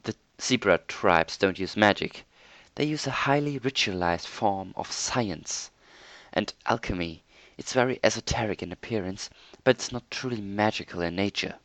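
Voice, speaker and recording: A man voicing a regal night princess pony who speaks in a formal, measured way. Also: fairly low pitch, fairly bright tone, no echo. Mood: grave.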